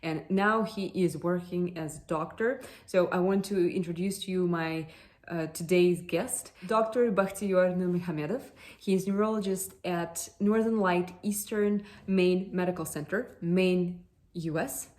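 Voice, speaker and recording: A woman's voice: on the low side, measured pace, darkish tone, echoey room. A woman hosting an interview, speaking in a friendly way with frequent restarts. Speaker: host. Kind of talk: casual interview.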